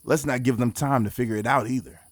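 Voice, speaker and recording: A man playing a guarded, pragmatic mission leader in his forties in a naturalistic audio drama, speaking in a measured, reflective way. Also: mid-range voice, steady tone, dry recording, natural voice. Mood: focused, decisive.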